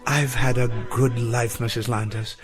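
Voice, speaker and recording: Gentle elderly male professor for radio drama, played by a male actor: courtly, grateful, mid-range voice, faint music. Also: medium pitch, flat delivery, close to an everyday voice. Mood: reflective, content. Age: older. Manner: formal, courtly wording with deliberate repetition.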